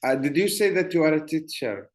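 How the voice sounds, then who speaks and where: A man's voice: fairly high pitch, expressive, fairly quick. A man with an Arabic accent, speaking English as a second language, hosting a podcast interview in a reflective, musing way. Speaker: podcast host.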